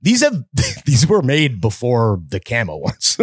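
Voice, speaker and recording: Laid-back slangy podcast co-host. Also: male voice, mid-range voice, wildly swooping pitch, measured pace, breathy edge, dry recording, studio quality. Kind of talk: casual podcast banter.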